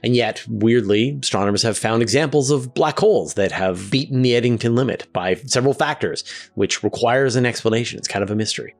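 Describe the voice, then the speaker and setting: A man hosting a science question and answer show, explaining things conversationally with emphatic, deliberate pauses. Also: mid-range voice, creaky.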